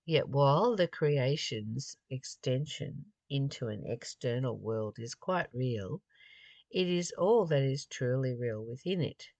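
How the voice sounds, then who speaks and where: Slow-paced, reflective spiritual reader in mid-range pitch. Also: gravelly, darkish tone, no room sound. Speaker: spiritual study reader and commentator. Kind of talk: reading aloud with informal reflective commentary.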